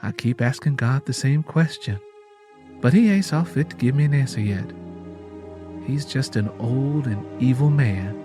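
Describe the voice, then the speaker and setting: A man's voice: mid-range voice, normal speed, music in the background, lightly acted. A man playing a meek Southern grandmother. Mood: weary, resigned. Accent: American South. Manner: deferential, plain-spoken, cautious.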